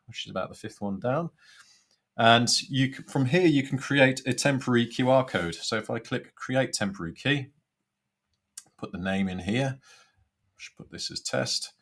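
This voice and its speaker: A man's voice: mid-range voice, a bit bright. A man giving an informal step-by-step product demonstration, hesitating and thinking aloud as he goes. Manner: hesitant, thinking aloud while tapping through steps. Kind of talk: informal screen-by-screen tutorial.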